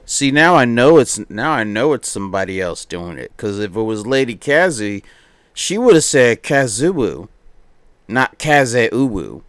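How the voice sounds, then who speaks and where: A man livestreaming as he reads a visual novel aloud, mixing theatrical narration with playful, excitable banter in a close, dry-sounding setup. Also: medium pitch, unhurried, clear, resonant tone, no echo.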